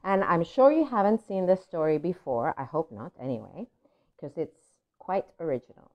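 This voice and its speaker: A woman, a native Spanish speaker, playfully and expressively reading a picture book aloud to children. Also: fairly low pitch. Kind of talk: animated read-aloud storytelling. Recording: dull lo-fi audio.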